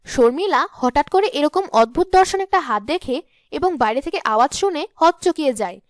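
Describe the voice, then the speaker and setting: Very high-pitched woman storyteller. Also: lively intonation, moderate pace, dry recording, clean studio sound. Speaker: narrator. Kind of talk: scripted cartoon story narration.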